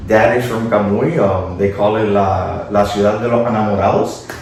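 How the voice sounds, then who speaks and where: A man's voice: lowish voice, steady tone, moderate pace, darkish tone. A man from Miami answering interview questions informally as a guest, dropping in Spanish phrases. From Miami, Florida. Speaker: interview guest, city official and former teacher. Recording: echoey room, distant mic, faint noise.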